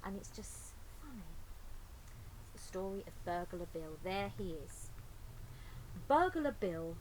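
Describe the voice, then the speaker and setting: A woman's voice: mid-range voice, sing-song, a little slow. Woman reading to children, with background noise. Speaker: children's story reader. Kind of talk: informal chat and expressive storybook reading for children. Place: inside a garden greenhouse. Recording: clear ambient background noise.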